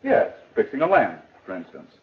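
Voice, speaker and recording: A patient father gently explains things to his son, his husky voice rising and falling in lively swings. The manner is reassuring and a little lecturing. The sound is dull and muffled, like an old film soundtrack. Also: mid-range voice, moderate pace, exaggerated voice.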